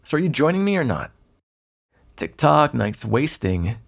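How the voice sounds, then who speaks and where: Gravelly, breathy seductive male vampire, acted by a man. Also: medium pitch, big pitch swings, a little slow, full character voice. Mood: menacing flirtation. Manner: taunting, drawling, flirtatious. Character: predatory, teasing male vampire, smug and seductive. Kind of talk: ASMR roleplay acting.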